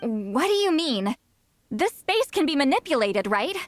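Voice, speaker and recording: Casting: an ageless young-sounding game sorceress; notably high pitch, prickly pride, direct and pressing delivery. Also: female voice, very animated, unhurried, no echo. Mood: insistent, urgent. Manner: blunt, insistent. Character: proud, centuries-old sorceress with a youthful voice, blunt and testy. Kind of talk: video game voice acting.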